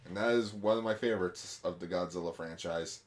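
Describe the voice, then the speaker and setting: A man with a lowish voice co-hosts a podcast. He chats casually and rambles, with loose fillers between his points. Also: a little fast. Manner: chatty, rambling, uses fillers. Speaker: podcast co-host. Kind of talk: casual chat about films.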